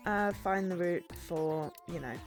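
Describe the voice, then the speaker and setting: A woman chatting as she streams a game, a bit hoarse from a sore throat, bubbly and quick to laugh. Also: lowish voice, faint music behind. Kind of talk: casual game-stream chat.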